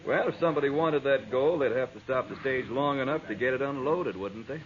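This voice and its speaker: An actor playing an idle saloon loafer who drawls insinuating hints in an old radio western. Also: male voice, medium pitch, a little fast, crowd noise, close to an everyday voice. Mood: sly. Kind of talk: 1950s radio drama acting. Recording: lo-fi old radio with background noise.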